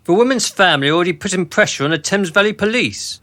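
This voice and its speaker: A man's voice: sits high, animated, fast talker, pushed and bright. An actor playing a smug, sneering male boss in a radio drama, with an arch, mocking and pompous manner.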